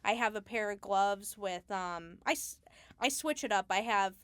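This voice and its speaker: A woman speaking casually as an interview guest, explaining her craft in a halting, thinking-aloud way. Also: medium pitch, a bit bright, smooth, no echo. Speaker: Foley artist, interview guest.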